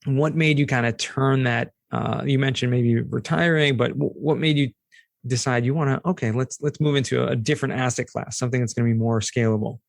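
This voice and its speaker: Slightly hoarse, gravelly man hosting a podcast. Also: medium pitch, fairly even intonation, a little fast, dry recording, clean studio sound. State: a little hoarse. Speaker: podcast host. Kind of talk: conversational podcast interviewing.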